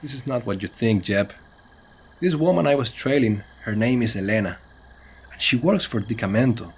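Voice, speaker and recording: A man's voice: mid-range voice, normal speed, breathy edge. A man playing a serious, cautious monster hunter with a Spanish accent, speaking in earnest, formal phrases with Spanish interjections in a comedy horror audio drama. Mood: grave, explanatory.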